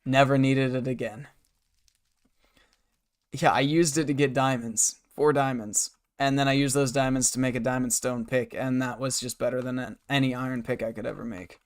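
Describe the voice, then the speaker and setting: A hoarse man live-streaming a game from his bedroom desk, rambling and muttering to himself and his chat. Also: medium pitch, fairly even intonation, moderate pace. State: hoarse, scratchy throat.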